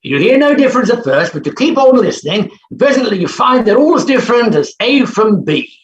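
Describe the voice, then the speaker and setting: An actor playing an energetic, impetuous, genially bullying phonetics professor in a staged play reading, with brisk, stammering starts. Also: male voice, extremely high pitch. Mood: instructive, brisk. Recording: echoey, dull, noisy video-call audio.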